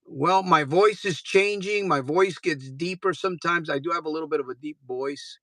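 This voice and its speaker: A man's voice: fairly high pitch, moderate pace, fairly bright tone, dry recording. A man in his fifties, a recovery coach giving an earnest motivational livestream talk, blunt and informal, repeating phrases for emphasis. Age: 50s. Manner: blunt and informal, swears casually.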